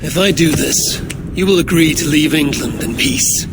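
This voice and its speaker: An actor playing a calm, blunt Norse warrior negotiating with a king in a game cutscene. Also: a little high, moderate pace, very noisy, lightly acted. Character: steady Norse warrior envoy, adult man. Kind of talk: video game cutscene acting. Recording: game audio under loud music.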